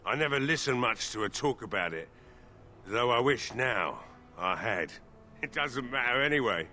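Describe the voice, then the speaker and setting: An actor playing a despairing, stubborn old village blacksmith in a video game, gruff, weary and drunk. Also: male voice, medium pitch, animated, moderate pace, sharp tone. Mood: regretful. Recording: faint background noise.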